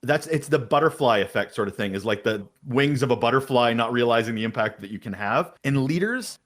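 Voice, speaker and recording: A man's voice: medium pitch, a little fast. A man guesting on a podcast, talking emphatically and energetically in an interview. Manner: energetic, emphatic, talks about having a big voice. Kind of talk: interview answers on leadership.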